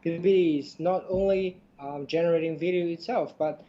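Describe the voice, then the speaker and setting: A man's voice: a little high, fairly even intonation, a little fast, warm tone, dull audio. A man whose first language is Chinese co-hosts a podcast, speaking English hesitantly with many fillers. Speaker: podcast co-host. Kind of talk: casual podcast chat.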